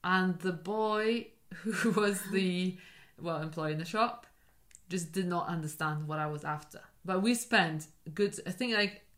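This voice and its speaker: A woman's voice: fairly low pitch, a little slow, a bit bright. Polish-accented woman, scattered chat. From Poland. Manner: scattered, jumps between tangents. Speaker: podcast co-host. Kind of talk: casual chat.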